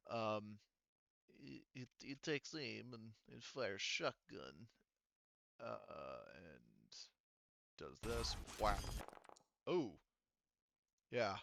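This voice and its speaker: Male game master, lowish bright voice, clean tone, halting rules explanations, slightly dull lo-fi audio. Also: vocal fry, clean tone, dry recording. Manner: halting, thinking aloud while explaining rules. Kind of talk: running an online tabletop roleplaying game.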